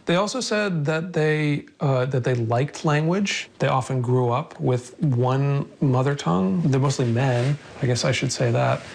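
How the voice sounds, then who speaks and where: A man's voice: medium pitch, a little slow, gravelly, hardly any pauses. A man, an interviewed author, explaining his findings in a thoughtful manner. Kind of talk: interview answers.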